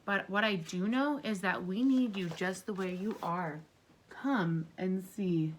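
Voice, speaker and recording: A woman reads as a kind sister who is the daytime itself in a children's storybook. She is earnest and comforting, in a medium-pitched female voice. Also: measured pace, a little hiss or hum, lightly acted, low-quality recording. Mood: reassuring.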